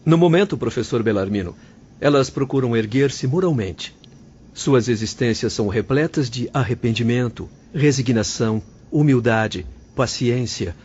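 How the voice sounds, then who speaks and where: A man's voice: medium pitch, moderate pace, a little mellow, slightly rough, lightly acted. Man as earnest spirit doctor, muffled. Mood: grave, explanatory. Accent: Brazilian. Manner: formal, didactic. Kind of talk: audio drama acting. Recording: muffled, faint noise.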